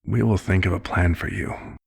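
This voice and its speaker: Man playing a gravelly, low-voiced, compassionate vampire doctor. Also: expressive, a little mellow, full character voice. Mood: persuasive, reassuring. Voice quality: husky, gravelly. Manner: measured, slightly formal, old-fashioned phrasing. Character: old, compassionate male vampire doctor, gently paternal and measured. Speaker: ASMR roleplay performer. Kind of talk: ASMR roleplay monologue.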